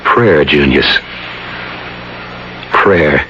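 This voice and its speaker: A haunted male professor speaks low and haltingly, full of solemn remorse. The sound is a muffled, hissy old radio drama. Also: exaggerated voice. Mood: solemn, resolute. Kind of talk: 1970s radio drama acting. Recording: dull, noisy old radio recording.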